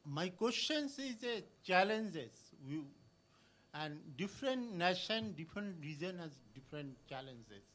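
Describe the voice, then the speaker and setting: Man from Bangladesh, conference audience comment, halting, fairly high voice. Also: sing-song, fairly bright tone. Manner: halting, many fillers and restarts. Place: conference hall.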